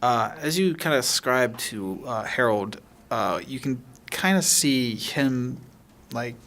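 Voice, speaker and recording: A man's voice: medium pitch, animated, takes it slowly, fairly bright tone. A man acting as game master, improvising casual tabletop narration and searching for words as he goes.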